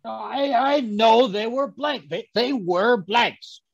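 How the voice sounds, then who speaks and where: A man playing a flustered, indignant older gentleman doctor in a radio mystery, husky, gravelly and stammering. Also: extremely high pitch, slow, full character voice. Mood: flustered, protesting. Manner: stammering, blustering. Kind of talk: old-time radio mystery acting. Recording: dull video-call audio.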